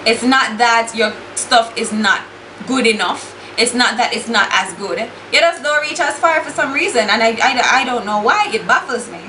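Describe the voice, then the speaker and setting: A woman's voice: fairly high pitch, normal speed. Blunt Jamaican woman, echoey room. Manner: blunt and exasperated, swears freely, slips into patois. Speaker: beauty YouTuber. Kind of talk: casual chat while doing makeup. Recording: echoey room with steady background hiss.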